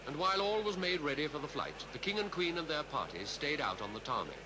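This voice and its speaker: A man with a British Received Pronunciation accent reading scripted 1950s newsreel commentary in a formal, measured announcer style. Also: on the high side, sing-song, noisy background. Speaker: newsreel narrator. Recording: vintage 1950s newsreel soundtrack with music.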